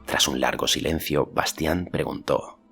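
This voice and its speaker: A man narrates a storybook audiobook in a remarkably deep voice with a gravelly fry. The delivery is scripted narration, set over faint music. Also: a little slow, fairly bright tone.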